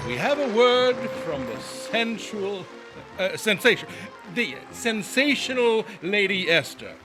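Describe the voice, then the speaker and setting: A man's voice: sits high, very animated, slow, music in the background. A man announcing a live theater show in a scripted, theatrical style.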